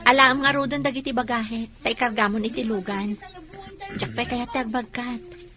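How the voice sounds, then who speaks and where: A woman's voice: a little high, steady tone, moderate pace, lightly acted. A woman with an Ilocano accent playing a bitter but determined pregnant young wife in an audio drama. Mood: weary, firm.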